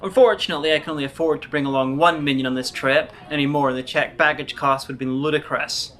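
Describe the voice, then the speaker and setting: A woman's voice: low voice, steady tone, fast talker, fairly bright tone. A woman acting a haughty, sardonic evil dictator in a comic web drama, imperious and casually menacing. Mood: smug.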